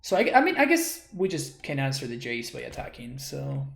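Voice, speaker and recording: A man's voice: medium pitch, huge pitch swings, moderate pace, creaky, a bit bright. A man from Argentina, streaming a card game and thinking aloud as he works through his plays. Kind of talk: live card game commentary. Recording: roomy echo.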